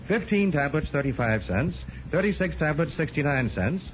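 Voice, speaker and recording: Animated, high-pitched American radio announcer man. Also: normal speed. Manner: polished, emphatic salesmanship. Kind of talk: 1950s scripted radio commercial read. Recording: muffled, faintly noisy 1950s radio recording.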